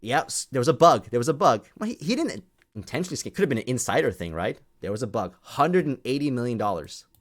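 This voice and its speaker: A man's voice: medium pitch, sing-song, quick pace. A man ranting off the cuff to an online audience as a community host, scolding and emphatic, often repeating phrases to drive them home.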